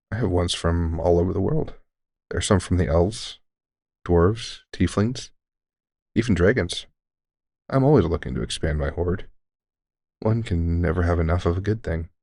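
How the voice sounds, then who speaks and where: A man voicing a deep, gravelly deranged elf shopkeeper. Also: no room sound, clean studio sound, exaggerated voice. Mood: courteous. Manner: courteous, formal, unsettlingly calm salesman. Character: deranged centuries-old male elf shopkeeper, polite yet sinister. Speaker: voice actor in an audio roleplay. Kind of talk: scripted audio roleplay addressing the listener.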